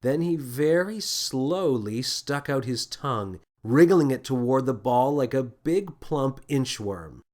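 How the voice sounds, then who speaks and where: Expressive male storyteller with lively pitch. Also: slow pace, hardly any pauses. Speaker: author and narrator. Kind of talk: scripted children's story narration.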